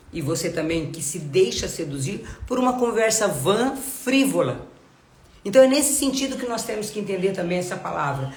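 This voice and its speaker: Congested woman preaching in a roomy space. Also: medium pitch. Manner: earnest, asks many rhetorical questions. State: congested, nasal from a cold. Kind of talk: live devotional Bible teaching. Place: home.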